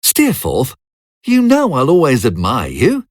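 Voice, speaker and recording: A man's voice: mid-range voice, huge pitch swings, unhurried, dry recording, clean studio sound, pitched above the performer's own voice. A man voicing a kind, earnest young hero in an audiobook novel. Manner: earnest, concerned.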